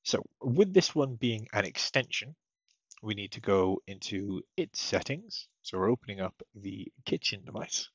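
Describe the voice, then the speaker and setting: A man with a fairly low, husky voice walks through software setup as a tutorial presenter. He explains each step methodically. The voice-over sounds slightly muffled. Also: lively intonation, unhurried, dry recording. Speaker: technical support tutorial presenter.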